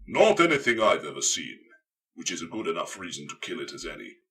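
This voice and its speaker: A man's voice: deep voice, wildly swooping pitch, a little fast, fairly bright tone, far from the mic, pitched below the performer's own voice, heavily acted. Man as a blunt, hard-voiced feral warrior tracker. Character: feral, wary male space marine tracker. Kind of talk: audiobook character dialogue.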